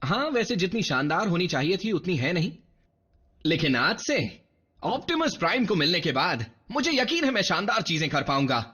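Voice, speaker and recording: Eager young robot soldier, high-pitched. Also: male voice, big pitch swings, normal speed, breathy edge, exaggerated voice. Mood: earnest, confident. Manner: brash, earnest, boastful. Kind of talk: animated series dub acting. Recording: dull lo-fi dub under loud music.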